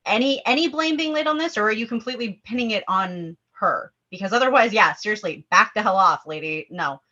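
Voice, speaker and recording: A woman with a bright, forceful voice hosts a casual film discussion. She is animated and opinionated and wanders into asides. The sound is a little dull and lo-fi. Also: medium pitch, a little fast. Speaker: host leading a table-read discussion.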